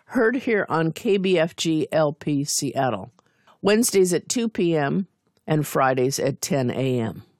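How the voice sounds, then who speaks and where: Woman radio announcer, really low voice, slow and dry, close mic. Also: no room sound. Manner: measured, even announcing. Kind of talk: scripted station announcement.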